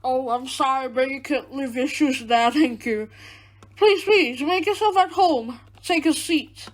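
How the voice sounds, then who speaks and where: A woman's voice: high voice, a little slow, slight background noise. A woman acting as a harsh, domineering father in a radio drama. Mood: commanding or fawning. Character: harsh, domineering father, abusive to his daughter.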